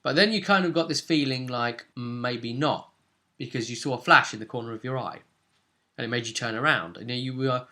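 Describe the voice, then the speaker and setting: A man's voice: mid-range voice, fairly quick, sharp tone. A man casually recapping a tabletop role-play session as game master, rambling along and often seeking agreement with tag questions.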